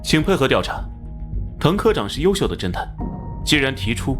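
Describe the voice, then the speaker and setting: An actor playing an earnest, loyal and polite young male police officer in an animated crime drama. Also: mid-range voice, moderate pace, close to an everyday voice. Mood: firm, loyal. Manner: earnest, questioning. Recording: music under the voice.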